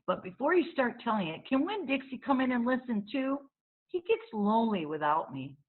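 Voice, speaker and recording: Woman voicing an eager young girl, swooping pitch. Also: sing-song, moderate pace, phone-quality sound. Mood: eager, polite. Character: earnest, lonely young girl who loves her dog. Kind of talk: children's storybook read-aloud.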